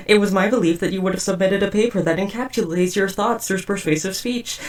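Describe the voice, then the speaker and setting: A woman's voice: mid-range voice, distant mic, lightly acted. A woman playing a socially awkward, devoted but murderous robot house spouse in a roleplay audio drama, speaking in stiff, wordy, formal phrases. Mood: earnest, awkward. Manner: stiff, verbose, overly formal wording. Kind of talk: scripted roleplay audio drama addressed to listener.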